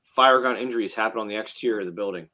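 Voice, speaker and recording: A man's voice: lowish voice, rapid-fire, sharp tone. A man in his late thirties, a firefighter hosting a casual chat, talks bluntly and heatedly and swears often. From New Jersey. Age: 30s. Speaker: firefighter podcast host.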